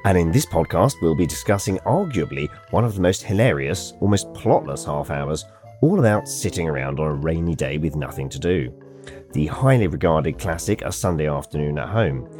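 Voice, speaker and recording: A wry Englishman co-hosting a podcast, teasing his co-hosts in relaxed chat. His voice sits very low. Faint music plays underneath. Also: moderate pace. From England. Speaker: podcast co-host. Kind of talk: casual chat.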